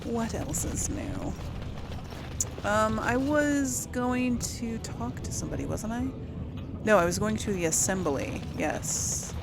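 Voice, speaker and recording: Woman rambling casually, lively intonation. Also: mid-range voice, slow pace, background noise. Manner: rambling, thinking aloud. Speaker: gaming video host. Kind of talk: casual role-play let's play commentary, chatting to viewers.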